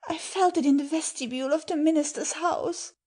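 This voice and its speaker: A woman's voice: high-pitched, brisk, darkish tone, lightly acted. A woman voicing a yearning, anxious young wife in a dramatic audiobook story. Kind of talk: dramatic audiobook character reading.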